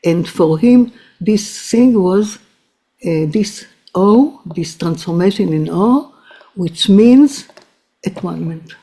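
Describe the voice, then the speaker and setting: Hesitant woman with a mellow voice. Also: medium pitch, expressive, takes it slowly, husky. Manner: hesitant, many restarts and fillers. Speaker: psychoanalyst presenting a paper. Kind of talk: halting academic talk.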